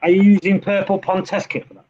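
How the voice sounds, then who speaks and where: A man's voice: sits high, flat delivery, fast talker, a little mellow. A British man talking casually in an online hobby chat. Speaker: community chat participant. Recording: dull lo-fi voice chat, faint noise.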